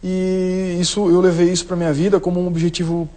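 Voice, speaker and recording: A man's voice: sits high, completely flat delivery, dark tone. A man, a businessman guest, hesitantly talking about learning a language as an adult. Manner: hesitant, halting. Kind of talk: studio interview.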